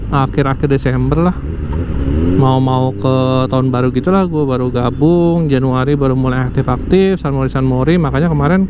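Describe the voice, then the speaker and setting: A man's voice: mid-range voice, fairly even intonation, normal speed. A young man chatting casually and rambling while riding a motorcycle, recorded through a helmet mic. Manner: chatty, slangy, rambling commentary. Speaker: motovlogger. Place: riding a motorcycle on city streets. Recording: dull audio, loud traffic and wind noise. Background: traffic and motorcycle engine.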